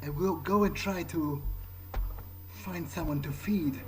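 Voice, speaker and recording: Over noticeable background ambience, a man plays a vampire musing to himself as he sneaks around in a video game. He is a non-native English speaker who talks slowly and hesitantly, muttering his thoughts with mild swearing. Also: fairly high pitch, a little hiss or hum. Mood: bemused, muttering. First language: not English. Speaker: gamer playing in character. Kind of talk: in-character video game playthrough, thinking aloud.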